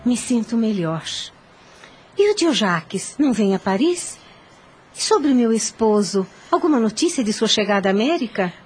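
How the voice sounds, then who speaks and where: An actress playing an anxious, devout, ailing young wife in a radio soap opera, earnest and melodramatic. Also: female voice, fairly high pitch, sing-song, normal speed, darkish tone, slight background noise. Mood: grateful, anxious.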